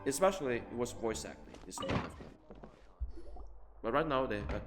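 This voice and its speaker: A man's voice: mid-range voice, big pitch swings, normal speed. A man giving casual live game commentary as a streamer, rambling, repeating himself emphatically and swearing offhand. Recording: reverberant room, game music behind.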